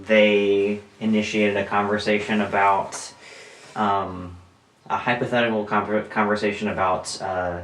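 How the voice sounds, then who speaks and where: A man of twenty-five, co-hosting a podcast, telling stories in an animated way with lots of fillers and frequent laughs. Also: lowish voice, unhurried. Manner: animated storyteller, laughs often, many fillers. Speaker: podcast co-host. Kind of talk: casual chat. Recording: roomy sound, mic at a distance.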